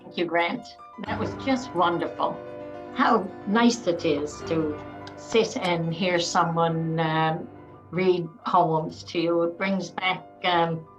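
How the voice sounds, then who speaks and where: A woman from Newfoundland moderating an event, speaking in a halting way as she searches for words. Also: fairly low pitch, over music. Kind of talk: casual thanks and remarks. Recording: distant, dull lo-fi sound.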